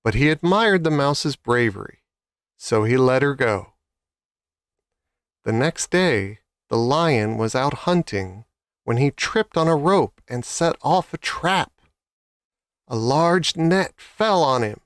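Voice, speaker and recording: Clear, unhurried male storyteller. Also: medium pitch, animated, no echo, clean studio sound. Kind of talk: scripted children's story narration.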